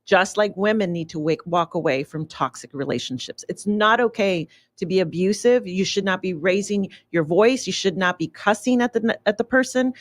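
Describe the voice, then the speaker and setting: A woman's voice: medium pitch, moderate pace, few pauses, no room sound. Outspoken woman guest on talk radio. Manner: earnest, outspoken, preachy. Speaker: radio show guest, author and business owner. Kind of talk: casual radio interview. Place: radio studio.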